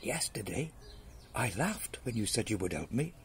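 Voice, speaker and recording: A man's voice: medium pitch, sing-song, normal speed, slight background noise. A man voicing a huge, imperious male lion with a teasing manner in a storybook fable. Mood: humbled, grateful.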